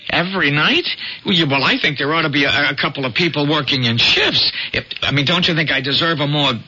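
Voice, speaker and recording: An actor playing a harried young small-town reporter, an eager schemer who stammers and gets exasperated, in an old-time radio drama. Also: male voice, a little high, brisk, pushed and bright, husky, heavily acted. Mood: flustered, pleading. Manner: stammering, flustered. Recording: noisy muffled vintage radio broadcast.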